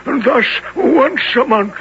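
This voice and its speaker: A man acting a kindly, mournful old African nobleman in a radio drama, speaking slowly in archaic, formal phrases. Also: extremely high pitch, lively intonation, fairly bright tone, heavily acted. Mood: grave. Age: older. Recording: dull, noisy old radio broadcast.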